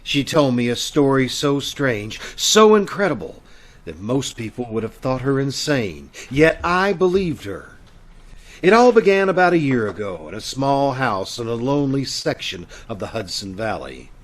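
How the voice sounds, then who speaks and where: A man playing a sinister, teasing storyteller of eerie tales in an old-time radio horror play, slyly ominous and deliberate. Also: medium pitch, very animated, lightly acted. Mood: grave, eerie.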